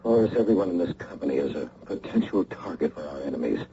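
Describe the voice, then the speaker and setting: Shifty anxious boss, halting, gravelly, radio drama, noisy old recording. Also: male voice, very deep voice, big pitch swings, lo-fi sound, full character voice. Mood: somber. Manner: hesitant, halting. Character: evasive, anxious male company boss hiding his guilt. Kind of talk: old-time radio mystery acting.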